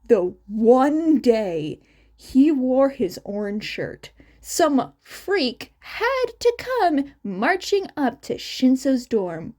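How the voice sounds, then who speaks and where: A woman reading fiction aloud as an expressive story narrator. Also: high-pitched. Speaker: amateur audiobook reader.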